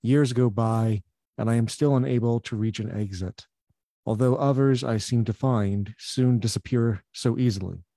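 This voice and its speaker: A man at an open mic reading his poems aloud in a measured, slow manner over a video call. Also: medium pitch, mellow, no echo, clean studio sound.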